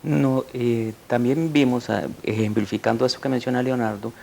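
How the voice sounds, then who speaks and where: A man, a researcher, talks loosely and gives example after example, dropping a questioning "verdad" into his sentences. His voice is deeply gravelly at a mid pitch, with a faint rumble behind it. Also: steady tone, normal speed, mellow. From Costa Rica. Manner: conversational, repeats "verdad" and "por ejemplo". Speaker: researcher guest. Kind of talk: academic interview answer.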